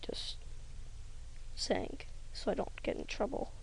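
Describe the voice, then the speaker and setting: A young woman rambling hesitantly over a drawing tutorial, often trailing off into soft near-whispers. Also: slow pace, rough recording. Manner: rambling, hesitant, self-conscious, many ums. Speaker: hobby animator.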